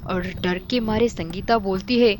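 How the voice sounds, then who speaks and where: A woman's voice: medium pitch, fairly even intonation, moderate pace. A woman storyteller narrating a scripted horror tale.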